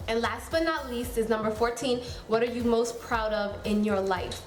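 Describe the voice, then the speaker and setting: A woman's voice: fairly high pitch, moderate pace, soft background music. Chatty young woman in an echoey room. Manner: chatty, many fillers like "um" and "like". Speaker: beauty YouTuber. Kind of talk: casual chat to camera. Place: room at home.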